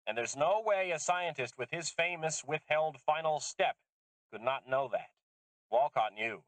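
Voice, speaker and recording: A man voicing an older woman geneticist, bitter and intense, speaking emphatically in a raised pitch. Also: measured pace, no echo, natural voice, rough recording. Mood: insistent. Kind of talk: audiobook character voice.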